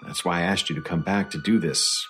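A low-pitched, awkward male researcher over faint music. He is earnest and apologetic, fumbling through his words in naturalistic radio drama style. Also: fairly quick, fairly bright tone. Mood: earnest, sympathetic. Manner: hesitant, fumbling, conciliatory. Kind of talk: naturalistic audio drama acting.

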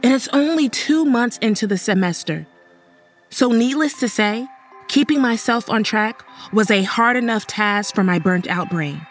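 A woman's voice: fairly high pitch, animated, normal speed, soft background music, lightly acted. A woman playing a burnt-out, anxious, sardonic young college student in an audio horror drama. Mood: weary. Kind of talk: audio drama acting and first-person narration.

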